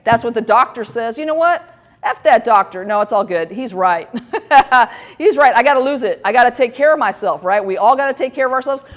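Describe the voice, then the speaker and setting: A woman's voice: on the high side, animated, brisk. A woman in her fifties hosting a talk show, talking candidly and joking at her own expense, with many fillers and restarts. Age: 50s. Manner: candid, self-deprecating, rambling with many fillers. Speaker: host. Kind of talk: casual talk show monologue.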